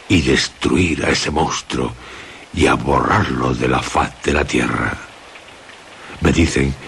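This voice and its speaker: Extremely deep, gravelly, anguished gothic storyteller. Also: male voice, a bit bright, a little hiss or hum, heavily acted. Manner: solemn, halting, dramatic. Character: sombre, very deep-voiced gothic storyteller reading anguished letter. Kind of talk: gothic radio drama narration.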